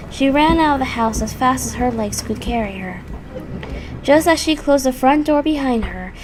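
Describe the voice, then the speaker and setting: A woman reading a scripted horror story as its narrator. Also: a little high. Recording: clearly audible background noise.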